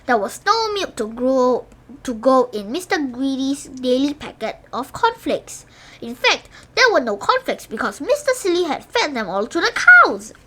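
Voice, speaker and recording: Animated woman reading a children's story. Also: high voice, moderate pace, slight background noise. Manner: animated, emphatic, exclaiming with playful emphasis. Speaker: storybook reader. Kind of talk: children's read-aloud storytelling.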